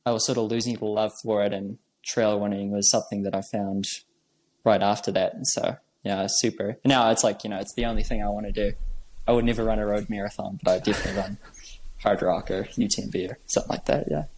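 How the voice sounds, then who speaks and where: Relaxed young man from New Zealand. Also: fairly low pitch, fast talker. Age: young adult. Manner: relaxed, with many fillers like "like" and "um". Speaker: guest trail runner. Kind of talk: casual interview chat.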